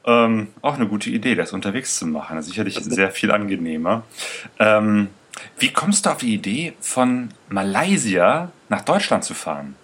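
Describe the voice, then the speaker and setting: Low guy hosting an interview, lots of ums, voice bounces all over. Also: big pitch swings, moderate pace, fairly bright tone, husky. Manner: hesitant, filler-heavy. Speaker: host. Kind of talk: conversational interview.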